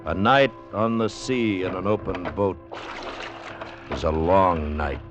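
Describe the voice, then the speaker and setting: An actor playing a grave storyteller of a sea ordeal, narrating an old radio drama solemnly and deliberately. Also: male voice, sits low, animated. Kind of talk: scripted literary narration, 1940s-50s radio. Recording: dull old radio recording. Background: music.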